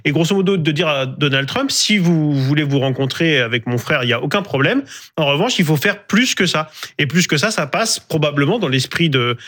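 A man's voice: on the high side, expressive, a little fast, fairly bright tone. A man speaking as an analyst, explaining his reading of events in a live discussion. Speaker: panel analyst.

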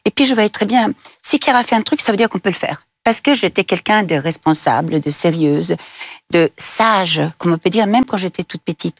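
A woman's voice: medium pitch, animated, normal speed, dry recording. A middle-aged Italian woman with an Italian accent, giving a reflective personal account as an interview guest. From Italy. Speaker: interview guest, business leader.

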